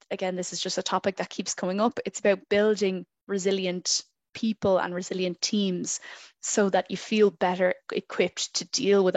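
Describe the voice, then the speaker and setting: A woman's voice: mid-range voice, no echo. Woman hosting an online workshop. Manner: friendly, chatty, many fillers. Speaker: event host. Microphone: video call.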